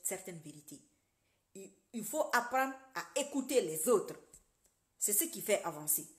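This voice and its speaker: Preachy, animated woman in an echoey room. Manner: animated, preachy, addresses viewers directly; jumps between loud emphatic bursts and low muttered asides. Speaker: social media commentator. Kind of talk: casual political monologue to followers.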